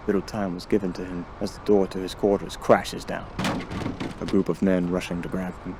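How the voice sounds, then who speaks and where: A man's voice: low voice, little pitch movement, a little fast, noisy background. A man giving a dramatic scripted narration of a horror story in an ominous, theatrical manner. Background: music under the voice.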